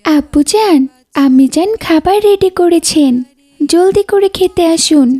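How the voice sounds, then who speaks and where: A woman plays an eager, well-mannered little daughter in a children's cartoon. The voice is very high and the words come slowly, each one pronounced with respectful care. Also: dark tone. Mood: polite, eager. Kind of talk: scripted children's cartoon dubbing.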